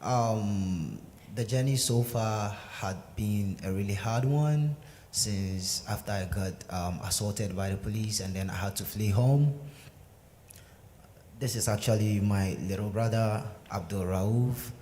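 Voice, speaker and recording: A young man speaks hesitantly, pausing often with fillers as he tells his own story. His voice sits low and mellow. It is recorded in a large sanctuary with a long reverb. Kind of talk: personal testimony answering questions. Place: synagogue sanctuary. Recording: echoey sanctuary with faint noise.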